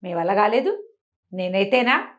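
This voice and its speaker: Lady storyteller putting on a cocky village guy bragging loudly in a bedtime tale, muffled sound. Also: medium pitch, big pitch swings, a little slow. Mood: boastful. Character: bragging, bold village men, brothers-in-law.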